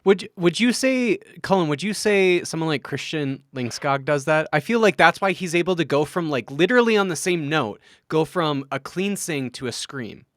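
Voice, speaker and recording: A man's voice: fairly high pitch, animated, moderate pace, few pauses, no room sound, clean studio sound. A man co-hosting a podcast, chatting with eager, emphatic enthusiasm. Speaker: podcast co-host. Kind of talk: casual podcast chat.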